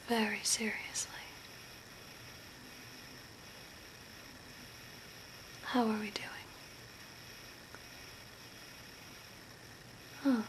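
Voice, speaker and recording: A woman voicing an eerie, deadpan female zombie makeover artist in a soft, breathy, mostly whispered ASMR role play, speaking slowly and deliberately. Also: mid-range voice, natural voice. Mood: curious, deadpan. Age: young adult. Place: small curtained room at home, indoors. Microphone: close to the camera. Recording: noisy amateur home recording.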